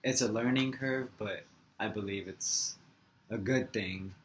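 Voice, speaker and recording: A young man from Florida whose first language is Farsi, answering interview questions in a relaxed, halting way with many "like" fillers. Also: lowish voice. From Florida, USA.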